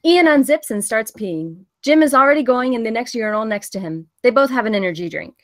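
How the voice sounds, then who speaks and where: A woman's voice: on the high side, animated, a little fast. A woman reading the stage directions of a film script as scripted narration at a table read.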